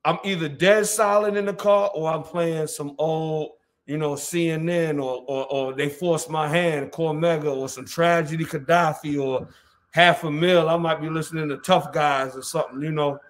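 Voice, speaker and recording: A man's voice: on the high side, fairly even intonation, normal speed. A man in his forties with an American accent, a rapper guest chatting casually in slang and repeating phrases as he tells stories. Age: 40s. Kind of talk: casual interview chat. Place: dim large room indoors, on a video call.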